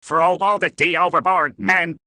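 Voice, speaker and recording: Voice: mid-range voice, fairly even intonation, unhurried, pushed and bright, no echo. A performer voicing a grumpy, stubborn cartoon character, blunt and contrary, in short comedy ad skits. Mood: gruff.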